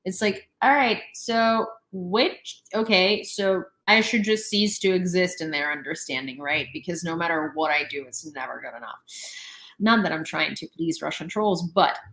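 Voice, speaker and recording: A young woman from Ukraine with a Ukrainian accent, hosting a casual livestream commentary, sarcastic and animated, laughing between remarks. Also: on the low side, normal speed, echoey room, low-quality recording. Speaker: news channel host.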